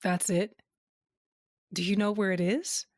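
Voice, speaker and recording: An actress playing a pensive, troubled wife on a fantasy quest in an audio drama, speaking earnestly. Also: female voice, mid-range voice, fairly even intonation, a little slow, dry recording, studio quality, lightly acted.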